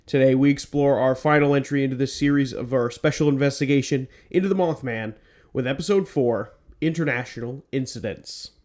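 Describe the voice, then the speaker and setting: A man hosting a one-man podcast on a home mic, his voice a little stuffed up from a cold. His pitch sits in the middle range, and he moves from read-out narration into loose chat filled with ums and restarts. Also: brisk, darkish tone. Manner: rambling with many ums. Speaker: podcast host. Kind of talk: scripted narration then casual chat.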